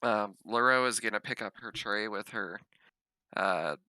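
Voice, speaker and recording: A man's voice: on the low side, measured pace, bright, forceful tone, no echo, poor audio. A man talking casually as a player in a tabletop game.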